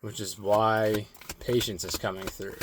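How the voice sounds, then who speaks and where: Man with a strained, slightly hoarse voice. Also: fairly low pitch, little pitch movement, background noise. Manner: slow, meditative, many "like" fillers. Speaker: spiritual card reader. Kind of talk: intuitive oracle card reading.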